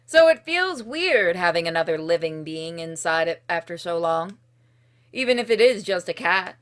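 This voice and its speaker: A woman reading a visual novel game's narration aloud in an expressive storytelling way, with casual, dryly humorous asides. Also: lowish voice, moderate pace. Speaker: streamer playing and narrating a game.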